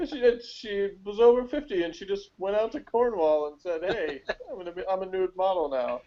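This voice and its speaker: Joking man, slightly high, muffled audio. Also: a little fast, dark tone. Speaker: podcast co-host. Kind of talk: casual chat.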